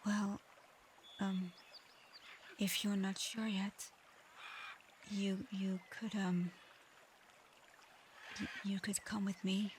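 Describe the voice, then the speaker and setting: A woman voicing a proud but easily flustered dragon girl in human form for an ASMR roleplay, speaking formally with halting stammers. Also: mid-range voice, flat delivery, slight background noise. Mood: flustered, shy. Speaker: audio roleplay performer.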